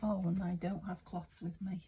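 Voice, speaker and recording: A soft-spoken woman from the UK talks through a craft project, thinking aloud and often slipping into a near-whisper. Her voice sits low and mellow, with a gravelly, slightly croaky edge. A faint hiss of noise sits behind her. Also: level tone, moderate pace. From United Kingdom. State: a little croaky. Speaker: craft vlogger. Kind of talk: casual craft-along chat.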